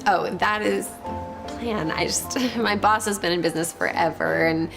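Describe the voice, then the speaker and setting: An actress playing an earnest young bakery worker in a moralistic web drama, hesitant but pleading. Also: female voice, fairly low pitch, fairly even intonation, normal speed. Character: earnest young female baker standing up to boss. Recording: music clearly under dialogue.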